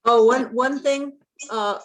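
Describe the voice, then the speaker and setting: A woman's voice: on the high side, fairly even intonation, slow. A woman taking part in a play reading, speaking casually as in a group meeting.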